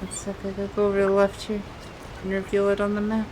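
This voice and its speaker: A woman's voice: mid-range voice, completely flat delivery, quick pace, background noise. A woman with a playful manner, casually chatting and reading aloud as she plays a video game. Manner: giggly, playful. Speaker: game streamer.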